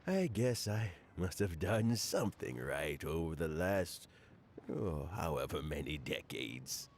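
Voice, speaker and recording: A man's voice: very deep voice, sing-song, slow, a little hiss or hum, exaggerated voice. An actor playing a kindly, wordy old statesman in a video game, genial and rambling with frequent chuckles. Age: older.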